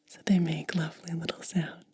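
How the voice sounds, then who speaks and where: A woman doing a whispered ASMR unboxing, breathy and gentle, lingering over her words close to the microphone. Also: deep voice, level tone, fairly quick. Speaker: ASMR host.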